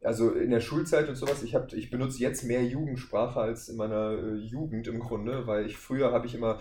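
A man's voice: medium pitch, fairly even intonation, a little fast, mellow. A man speaking as a podcast guest, explaining things casually and at length in a discursive, circling way. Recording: echoey room, faint background noise.

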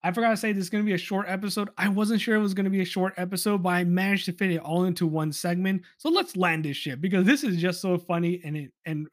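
Male podcast host, high register, informal and exasperated, off-the-cuff opinion commentary. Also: quick pace, few pauses. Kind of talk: casual solo opinion commentary, reading aloud from an article.

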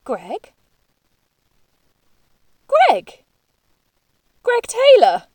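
A woman's voice: very high voice, huge pitch swings, drawn-out words, darkish tone, exaggerated voice. A woman playing a frightened but defiant young woman in an audio drama. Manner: indignant, questioning.

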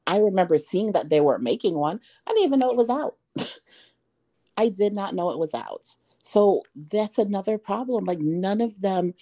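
Woman, 30s, podcast co-host, casual chat, emphatic, low mellow voice. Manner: emphatic, rhetorical questions.

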